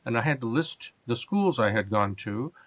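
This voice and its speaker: Measured American man, close dry recording. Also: mid-range voice, expressive, no room sound. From Japan and California. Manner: measured, halting, explanatory. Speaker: interview guest, government and business leader. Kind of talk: reflective interview answers.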